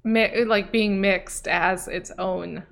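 A woman's voice: mid-range voice, steady tone, slow pace, vocal fry. A young woman hosting a podcast interview, chatting casually and encouragingly, with frequent affirming interjections. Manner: encouraging, frequent "yeah" and "wow" back-channels. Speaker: podcast host.

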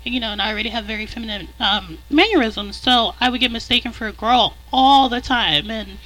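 A man with a high countertenor voice, a podcast guest talking candidly and rambling in casual chat. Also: brisk, sharp tone, low-quality recording.

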